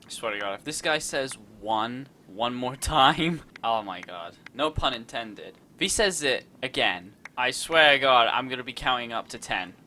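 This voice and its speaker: Animated male gamer doing reactive commentary. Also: mid-range voice, moderate pace, few pauses, a little hiss or hum. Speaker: gaming streamer. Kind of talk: casual reactive gameplay commentary, reading choices aloud.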